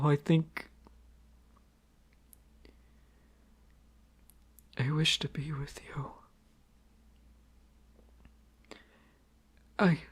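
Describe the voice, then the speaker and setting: A man playing a shy, gravelly young prince. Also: sits high. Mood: flustered. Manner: soft, hesitant, halting. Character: shy, sensitive young male crown prince. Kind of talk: romantic audio roleplay.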